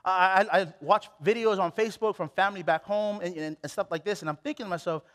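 Young man from Hawaii, very high voice, animated preacher, restarts a lot, headset mic, big hall reverb. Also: quick pace. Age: late 20s. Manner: animated, exclamatory, with many restarts. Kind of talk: sermon. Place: church stage.

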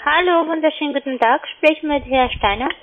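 Fairly high non-native woman on phone. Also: brisk. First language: not German. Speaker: cold caller. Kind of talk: phone sales pitch. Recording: tinny phone line.

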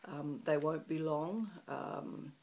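A middle-aged woman from New Zealand with a New Zealand accent and a croaky morning voice, chatting to a webcam in a small room, rambling with lots of ums. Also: sits low, slow pace, dark tone. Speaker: craft channel host. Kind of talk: casual chat to camera. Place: small room in front of a festive fabric backdrop.

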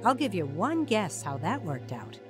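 A woman's voice: mid-range voice, sing-song, normal speed, natural voice. A young woman acting the lead in a radio drama and narrating her own story in first person, earnest and emotional. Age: young adult. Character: young wife and new mother, worn down by a hard marriage. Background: music under narration.

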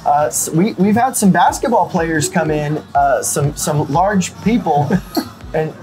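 A man's voice: on the high side, measured pace, darkish tone, soft background music, low-quality recording. A young man, talking casually and a little ramblingly about his restaurant's food, with lots of fillers and restarts. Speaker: restaurant owner. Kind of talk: casual interview about the menu. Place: indoors at a restaurant table.

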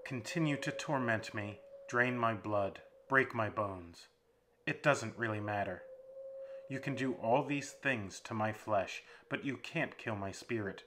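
A man's voice: on the low side, unhurried, lightly acted. A man voicing a battered male captive who faces his captor with firm defiance in a dramatic audiobook reading. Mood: defiant. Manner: desperate, then firmly defiant. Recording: steady background hiss.

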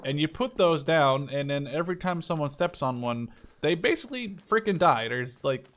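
A man's voice: fairly high pitch, expressive, moderate pace, a bit bright. A young man giving casual, rambling commentary over his own gameplay, now and then swearing at surprises. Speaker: gaming channel host. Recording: game gunfire and artillery behind voice.

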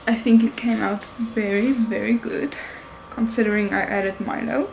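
A woman's voice: fairly high pitch, steady tone, normal speed, gravelly, darkish tone, rough recording. A woman in her twenties chatting casually to viewers in an informal vlogging style. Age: 20s. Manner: informal, addressing viewers directly. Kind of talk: casual vlog chat and recipe voice-over. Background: music.

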